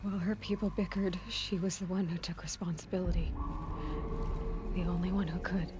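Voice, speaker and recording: An actress playing an earnest, emotional young woman hero in a sci-fi video game, with a hushed, heartfelt delivery. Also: fairly low pitch, steady tone, brisk, over loud music, close to an everyday voice. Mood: impassioned. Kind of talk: dramatic video game acting.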